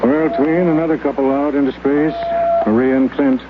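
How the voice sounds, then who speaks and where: An actor playing a dry, world-weary station chief. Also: male voice, mid-range voice, steady tone, normal speed, natural voice. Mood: probing. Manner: dry, wry, gently probing. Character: kindly, world-weary male space-station official. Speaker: narrator and station supervisor. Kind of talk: 1950s radio acting. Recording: dull lo-fi radio with clear hiss.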